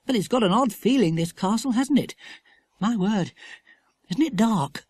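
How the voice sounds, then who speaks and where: Reader doing a keen young schoolboy, high lifted voice, lively swoops, breathy edge, storybook. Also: measured pace. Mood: eager. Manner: eager, exclamatory. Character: excited adventurous schoolboy. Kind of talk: audiobook character reading.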